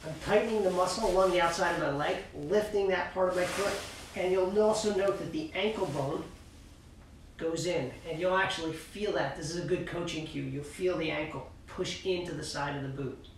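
A middle-aged man coaching skiing, calmly explaining foot movements and exercises while he demonstrates in a cluttered indoor workshop, filmed by a camera across the room. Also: sits high, moderate pace, slight background noise. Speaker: ski coach. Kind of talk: instructional demonstration. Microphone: far camera. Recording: echoey room, mic far off.

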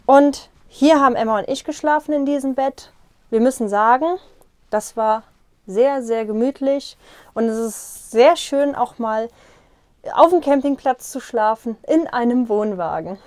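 A woman's voice: a little high, measured pace, a little mellow, no echo. Young woman giving a caravan tour. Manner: friendly, explaining as she walks and points. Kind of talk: casual room tour. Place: inside a small caravan. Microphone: lapel mic.

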